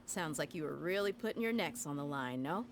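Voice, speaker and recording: A low-voiced warrior woman. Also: expressive, a little fast, background noise. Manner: blunt and self-assured. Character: tough, confident female mercenary warrior. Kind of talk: game voice acting. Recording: game audio with music behind.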